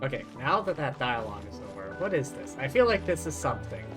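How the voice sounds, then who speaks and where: Animated male game streamer over music. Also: mid-range voice, quick pace. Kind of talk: casual live game commentary.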